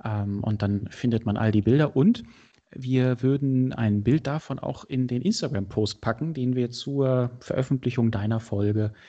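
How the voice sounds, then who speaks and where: A man's voice: medium pitch, moderate pace, mellow, dull audio. A man from Berlin hosting a casual podcast interview, chatty and enthusiastic, often addressing his listeners directly. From Berlin, Germany. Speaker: podcast host.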